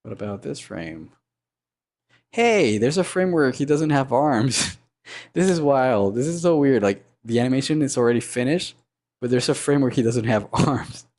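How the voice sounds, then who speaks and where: A man with a low baritone voice and a sore throat, chatting casually and humming to himself while he animates on a live stream. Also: animated, normal speed, darkish tone. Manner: rambling, thinks aloud, hums and mutters sound effects.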